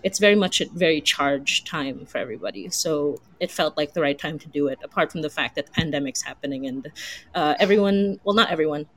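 A woman's voice: deep voice, fairly quick, a bit bright. A woman from the Philippines speaking casually as a writer on a panel, informal and thinking aloud. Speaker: panel guest, audio drama writer.

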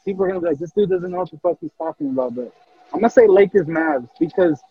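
Casting: husky, gravelly man, high pitch, fast animated restarts on a dull lo-fi recording. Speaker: podcast co-host. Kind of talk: casual sports chat.

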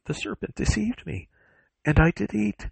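A timid, innocent young-world woman from a scripture drama played by a man. The voice is lifted into a soft, high falsetto. The sound is close and dry. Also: normal speed, creaky, breathy edge, dry recording, heavily acted, low-quality recording. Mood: ashamed.

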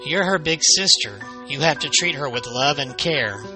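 A man's voice: on the high side, expressive, moderate pace, music in the background, voice pushed up, natural voice, poor audio. A man voicing a stern, scolding mother of a young girl in a scary story. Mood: instructing. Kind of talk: horror storytelling character voice.

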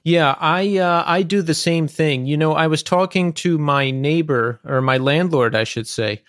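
A man hosting a podcast, asking questions in a relaxed, chatty way. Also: on the high side, a little slow, no room sound, studio quality. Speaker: podcast host. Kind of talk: casual podcast interview.

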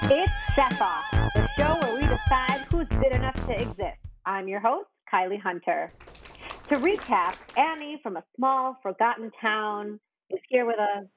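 A woman's voice: fairly high pitch, huge pitch swings, moderate pace, over loud music, poor audio. A woman playing a nervous cooking show host who stammers and tries to please everyone. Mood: flustered. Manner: flustered, stammering, eager to please. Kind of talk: comedy sketch acting.

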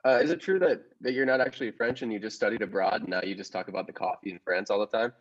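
A man's voice: fairly low pitch, fast talker, muffled recording, natural voice. A man playing an eager, earnest, stammering reporter in an improvised comedy sketch. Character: eager, earnest male reporter with trivial stories.

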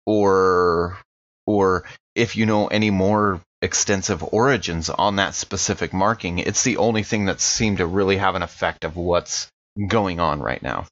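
A man's voice: deep voice, a little slow, fairly bright tone, dry recording. A man playing an easygoing carnival worker in an improvised tabletop horror game, earnest, casual and full of questions, on a slightly dull microphone. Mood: earnest, curious. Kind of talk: improvised in-character tabletop dialogue.

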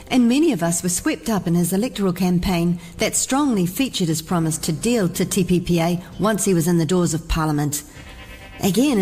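A woman's voice: fairly low pitch, a little mellow, breathy edge. A woman reading a prepared political commentary in an earnest, indignant tone. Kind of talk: scripted political commentary read aloud.

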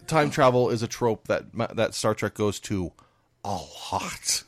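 An easygoing man co-hosts a radio show, chatting loosely and often stuttering over his words as he repeats them. His voice sits a little low. The sound is close and dry. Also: expressive, a little slow, no room sound. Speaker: radio co-host. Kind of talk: casual radio chat.

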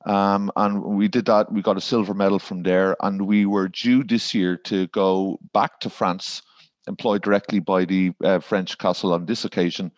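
Irish guy, lowish voice, lots of ums and restarts, kinda muffled dry audio. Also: steady tone, normal speed, dry recording. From Ireland. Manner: rambling, with many fillers and restarts. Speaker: garden designer guest. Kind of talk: podcast interview.

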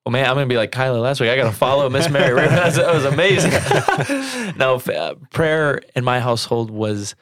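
Man, 30s, church co-host, relaxed chatty storytelling, dry recording. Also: mid-range voice, fairly quick, no echo. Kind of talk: casual church talk show.

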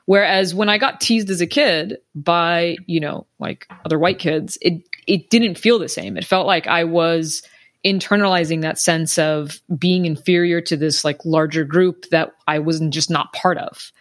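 Reflective woman, low voice. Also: measured pace. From United States. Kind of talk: casual discussion.

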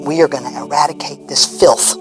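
A woman voicing a solemn, zealous announcer in a mock promotional rallying call, drawn-out and emphatic. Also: deep voice. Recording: New-age music bed behind the voice.

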